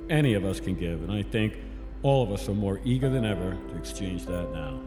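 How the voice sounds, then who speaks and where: A man's voice: deep voice, animated, fairly quick, over music. A man playing a wry, easygoing scientist in an audio drama, with casual delivery. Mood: warm, composed. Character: male scientist, wry, easygoing team member. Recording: echoey, noisy ambience.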